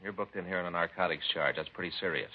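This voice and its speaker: Casting: no-nonsense interrogating detective for vintage police radio drama, quick delivery, low register, stern and blunt. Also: male voice. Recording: dull, lo-fi vintage radio recording.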